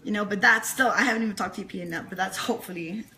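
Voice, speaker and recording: A woman's voice: mid-range voice, racing, over a noisy crowd, low-quality recording. A woman talking as an enthusiastic interview guest, earnest and emphatic, repeating phrases to stress her points. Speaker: interview guest, fan club leader. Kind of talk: enthusiastic casual interview chat.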